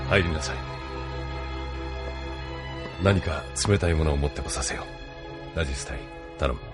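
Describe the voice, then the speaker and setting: 男性声優がアニメのオーディオドラマで、落ち着いて威厳のある中年の軍大佐を演じている。. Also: male voice, extremely low pitch, over loud music. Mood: calm, courteous. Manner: measured, authoritative. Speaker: voice actor. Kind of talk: anime audio drama acting.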